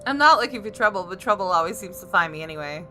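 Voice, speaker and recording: A woman gaming creator reading visual novel narration aloud, with expressive reactions. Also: mid-range voice, fast talker. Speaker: gaming YouTuber.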